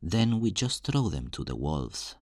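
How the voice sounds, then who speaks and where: A man playing a charming, roguish fantasy thief in an ASMR roleplay, flirtatious, teasing and theatrical in his smooth talk. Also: deep voice, big pitch swings, a little slow, darkish tone, no room sound, clean studio sound, heavily acted. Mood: smooth instructing. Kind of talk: ASMR roleplay, scripted character acting.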